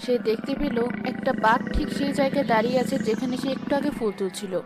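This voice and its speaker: A woman's voice: high voice, quick pace, loud music behind the voice. A woman reading scripted storytelling narration as the narrator of a fairy tale.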